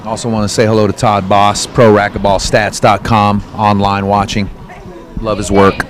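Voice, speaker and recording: A man calling play-by-play at a racquetball match, with short relaxed remarks. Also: on the low side, normal speed, slight background noise. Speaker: play-by-play commentator. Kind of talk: live sports commentary.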